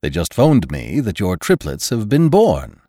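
An exuberant old doctor acted out by a male narrator lectures in grand, emphatic style. His pitch climbs and leaps as he preaches order like a pompous storybook orator, in a dry, close sound. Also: huge pitch swings, measured pace, warm tone, no echo, full character voice. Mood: brisk, hearty.

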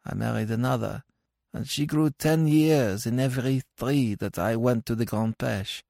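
A mournful old villager acted by a male reader talks from the grave. The voice is raised in pitch and drawn out, with archaic storybook phrasing, close and dry. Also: vocal fry, warm tone, dry recording, natural voice. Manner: slow, mournful, archaic speech. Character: mournful sixty-year-old dead Breton villager recalling losses. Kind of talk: dramatic storybook reading.

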